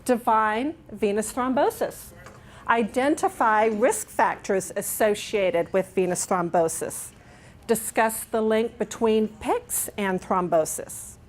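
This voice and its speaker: A woman's voice: a little high, slow pace. A middle-aged woman from England, with no trace of an accent, giving a nurse education talk in a dining room, upbeat and chatty and often asking the audience to agree. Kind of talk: conference presentation. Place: dining room with large windows.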